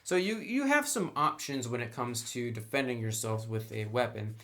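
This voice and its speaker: A man's voice: mid-range voice, animated, normal speed, a bit bright. A man giving a casual, unscripted review as he browses through a book, talking conversationally through each item.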